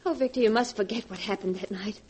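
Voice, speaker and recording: A woman's voice: mid-range voice, fast talker, a little mellow, breathy edge, close to an everyday voice. An actress playing an earnest, conflicted young woman in a fervent 1940s radio drama style. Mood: anxious, pleading. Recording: noisy vintage 1940s radio recording.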